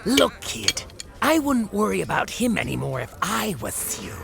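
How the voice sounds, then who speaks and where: Gravelly sneering lowlife thug. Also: female voice, big pitch swings, crowd noise. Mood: veiled menace. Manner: slangy, slow, wiseguy drawl. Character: scaly male barroom thug, sneering and taunting. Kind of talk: noir audio drama acting.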